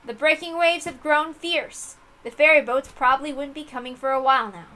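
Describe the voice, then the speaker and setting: High, bright-voiced woman narrating. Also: a little fast. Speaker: reader of a visual novel. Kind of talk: dramatic scripted narration.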